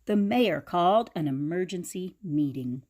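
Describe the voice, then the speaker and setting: A woman reading a picture book aloud to children in a dramatic, expressive way, with chatty explanatory asides. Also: fairly low pitch, a little slow, slight background noise.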